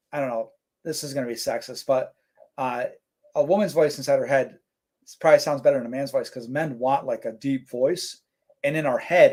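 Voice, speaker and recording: Laid-back man chatting on a live stream. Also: medium pitch. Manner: relaxed, rambling, many fillers. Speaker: co-host reading live chat. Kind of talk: casual live-stream chat.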